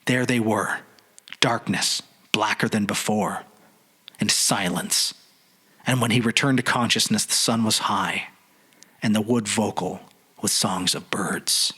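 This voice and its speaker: Husky man reading a horror story. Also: fairly low pitch, sharp tone. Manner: suspenseful, deliberate phrasing with dramatic pauses. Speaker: podcast narrator.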